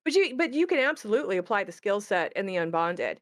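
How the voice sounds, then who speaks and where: A woman's voice: mid-range voice, sing-song, fast talker, no room sound, clean studio sound. A woman who is a game creator, hosting a casual question-and-answer chat.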